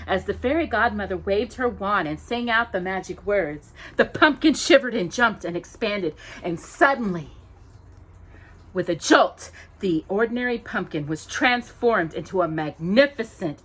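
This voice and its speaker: A woman hosting storytime, reading a children's story aloud with expressive, dramatic emphasis on descriptive words. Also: medium pitch, unhurried, husky, poor audio.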